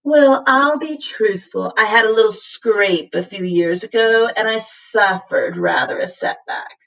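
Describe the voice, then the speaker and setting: A woman voicing a sly, flattering male cat creature in a storybook, with a theatrical, gushing and wheedling manner. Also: mid-range voice, a little slow, gravelly, distant mic, rough recording. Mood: evasive, dramatic.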